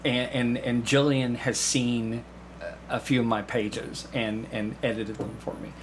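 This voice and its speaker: A man chats casually as a guest, telling stories in a halting way and repeating himself as he goes. His voice is mid-pitched, with some noise in the background. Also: level tone. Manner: halting storytelling with repeated phrases and acted-out asides. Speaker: podcast guest, amateur actor. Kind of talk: casual anecdotal conversation. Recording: clearly audible background room noise.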